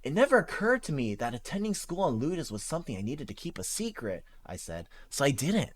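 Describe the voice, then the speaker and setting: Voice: medium pitch, very animated, quick pace. A streamer reading a novel aloud live, casual, with slips and restarts. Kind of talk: live-streamed read-along.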